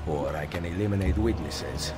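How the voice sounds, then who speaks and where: A man's voice: deep voice, moderate pace, natural voice. Man acting a resolute Italian assassin in echoing hall. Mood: firm, calm. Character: resolute Italian assassin leader, calm and determined. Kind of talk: video game cutscene acting. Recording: long reverb, faint music behind.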